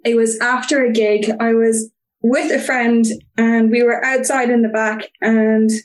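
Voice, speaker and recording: A woman's voice: a little high, steady tone, a little slow, echoey room. A young Irish woman, a guest, chatting casually and telling stories with frequent ums. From Ireland (Cork). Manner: chatty, storytelling with filler ums. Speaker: guest fan. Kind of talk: casual interview chat.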